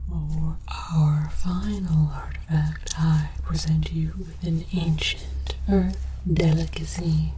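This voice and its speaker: Slow whispering alien scholar voiced by a woman. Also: low voice, noisy background. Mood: solemn, bemused. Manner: deliberate, formal mock-academic, deadpan. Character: scholarly alien archaeologist presenting earth relics, earnest and bemused. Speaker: host of an educational comedy show. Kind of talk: whispered ASMR scripted presentation.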